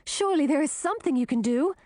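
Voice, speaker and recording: An earnest young heroine, as a woman puts her on, anxious and pleading, her voice pitched high and swinging widely. It is read close to the mic in a dry, quiet space. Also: animated, quick pace, dry recording.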